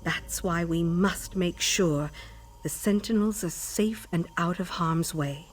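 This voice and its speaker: A woman's voice: fairly low pitch, slow pace. An actress playing a stern, scholarly female elven sage in a video game, speaking formally and imperiously. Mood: formal, explanatory. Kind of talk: video game character dialogue.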